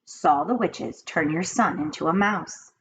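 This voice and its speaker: A woman's voice: sits low, lively intonation, measured pace. A woman voicing a patient, firm grandmother over sixty. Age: older. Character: calm determined grandmother. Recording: dull lo-fi with faint hiss.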